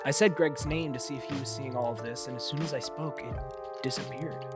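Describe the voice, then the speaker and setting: A man's voice: medium pitch, brisk, over loud music, natural voice. A man playing a rattled, insistent young housemate who talks bluntly and swears, in naturalistic audio drama. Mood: shaken.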